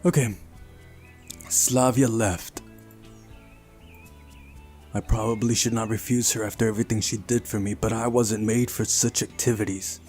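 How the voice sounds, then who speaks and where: A man's voice: on the low side, level tone, unhurried, long pauses, a little mellow. A man hosting a visual novel playthrough, reading narration aloud and adding wry, casual asides. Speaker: video game playthrough host. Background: faint music.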